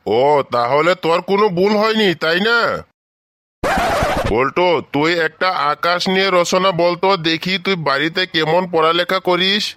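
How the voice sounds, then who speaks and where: A man's voice: sits high, normal speed, background noise, close to an everyday voice. A man voicing an exasperated male schoolteacher in a comedy cartoon, scolding and challenging his pupil.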